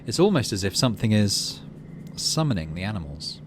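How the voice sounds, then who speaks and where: A man's voice: on the low side, big pitch swings, a little fast, noisy background. A man hosting a gaming video, reading story text aloud and adding muttered asides as he plays.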